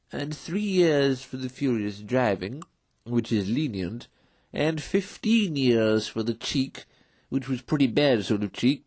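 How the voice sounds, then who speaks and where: A man's voice: mid-range voice, darkish tone, dry recording. A man voicing an officious court clerk in a storybook reading, methodical and drily severe, listing things fussily. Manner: fussy, methodical, deliberate listing. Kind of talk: storybook character voice in a read-aloud.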